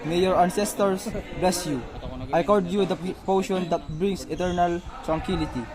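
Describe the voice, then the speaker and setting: A man's voice: high-pitched, fairly even intonation, normal speed, close to an everyday voice, rough recording. A man playing a solemn, ceremonious magistrate in an amateur radio drama, speaking formally and declaiming.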